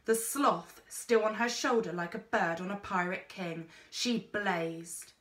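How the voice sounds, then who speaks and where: A woman reading a children's story aloud in an expressive narrating style, like a teacher. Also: mid-range voice, measured pace, roomy sound.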